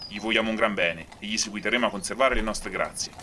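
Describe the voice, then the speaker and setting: A man's voice: lowish voice, quick pace, pushed and bright, a little hiss or hum. An actor playing a gracious, courteous king in an Italian radio drama, speaking in a courtly, formal way. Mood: gracious, genial.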